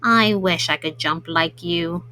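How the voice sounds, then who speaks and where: Woman as low, glum storybook Gus. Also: slow, bright, forceful tone, a little hiss or hum. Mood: sad, discouraged. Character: Gus, sad discouraged friend of Pete the Cat. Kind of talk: children's storybook character voice.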